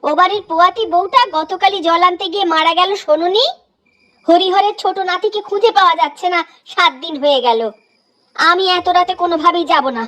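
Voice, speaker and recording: A woman's voice: very high-pitched, a little fast, a bit bright. A woman playing a weary, complaining, superstitious village housewife in a horror cartoon, speaking plaintively in a rustic way over music. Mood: grumbling.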